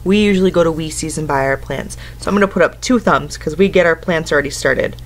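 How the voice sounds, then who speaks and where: A woman's voice: low voice, fast. A woman in her thirties reading a picture book aloud to young children, instructing in simple phrases and putting questions to them. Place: indoors at home, living room couch.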